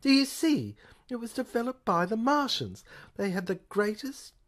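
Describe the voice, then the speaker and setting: A woman's voice: on the high side, sing-song, moderate pace, voice pushed up. Woman as male chief detective, sinister, sarcastic, pompous lecturer, alien invader, emphatic delivery, audio play. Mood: emphatic, grandiose.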